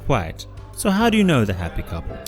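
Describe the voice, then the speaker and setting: A man's voice: medium pitch, huge pitch swings, a little fast, a little mellow, soft background music. An actor playing a polite, well-to-do male party guest in an audio drama. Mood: polite, chatty.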